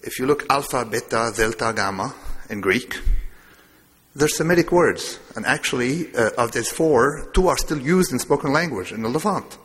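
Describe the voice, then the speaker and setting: A man's voice: medium pitch, lively intonation, normal speed, a bit bright. A man from Lebanon giving a discursive public lecture, wandering between asides with a self-amused touch. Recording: long hall reverb.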